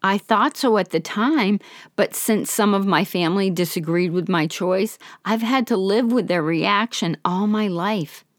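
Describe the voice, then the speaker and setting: A woman voicing the quoted words of an elderly, guilt-ridden, devout woman, in a soft, sorrowful, confiding way. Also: medium pitch, measured pace, no echo, clean studio sound, lightly acted.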